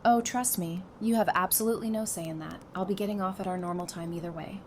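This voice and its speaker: A woman playing a sassy, sarcastic, quick-witted wife with dry, teasing delivery in an audio drama. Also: on the low side, steady tone, close to an everyday voice. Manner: dry, teasing, matter-of-fact. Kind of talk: fan audio drama acting. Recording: clearly noisy background.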